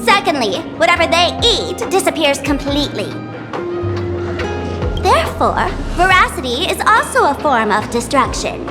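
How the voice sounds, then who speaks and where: High, swooping, playful young trickster girl. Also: sing-song, moderate pace. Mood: smug, theatrical. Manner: teasing, showy, dramatic declarations. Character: playful theatrical young woman, teasing and mischievous trickster. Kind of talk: video game voice acting. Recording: music behind the voice.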